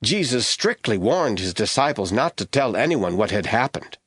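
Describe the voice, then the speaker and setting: Animated male narrator. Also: fairly low pitch, moderate pace, breathy edge, no room sound. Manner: expressive storytelling. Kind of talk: scripted dramatized Bible narration.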